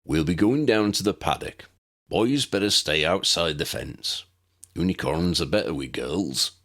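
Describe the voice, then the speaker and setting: A man's voice: deep voice, animated, measured pace, a bit bright, heavily acted. A man voicing a big, jovial, rustic gamekeeper teacher in an audiobook, hearty and folksy. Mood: jovial, instructing. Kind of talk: audiobook character voice.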